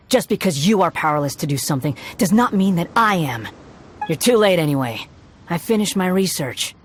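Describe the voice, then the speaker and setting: A woman's voice: fairly low pitch, lively intonation, moderate pace, a little hiss or hum, natural voice. A woman voicing an arrogant, volatile young male villain in a video game, sneering and theatrical, with music behind. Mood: scornful. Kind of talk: scripted video game voice acting.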